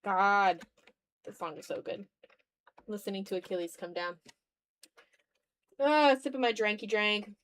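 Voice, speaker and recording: A woman's voice: medium pitch, a little slow, long pauses, fairly bright tone, poor audio. A woman chatting casually on a livestream as she draws, slangy and playful, talking half to herself and half to viewers. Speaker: streamer, digital artist. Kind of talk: casual livestream chat while drawing.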